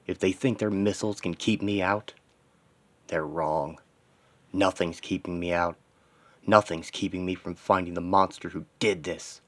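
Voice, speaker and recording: Man performing a grim, low-voiced vengeful superhero. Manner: terse, dramatic inner monologue in short fragments. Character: grieving, vengeful male superhero, grimly determined and anguished.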